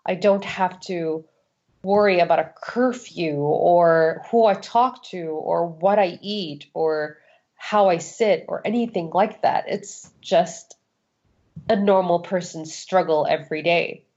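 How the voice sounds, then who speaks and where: A woman's voice: lowish voice, slow, darkish tone. A woman from Saudi Arabia giving candid personal testimony as an interview guest, frank and emphatic, repeating phrases for stress. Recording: dull, lo-fi sound.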